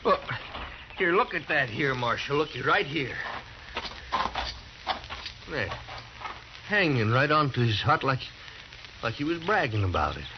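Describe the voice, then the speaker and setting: A man's voice: mid-range voice, big pitch swings, measured pace, long pauses. An actor playing a spiteful, agitated townsman in an old-time radio western, stammering and folksy in his accusations. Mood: agitated, accusing. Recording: muffled, noisy old radio recording.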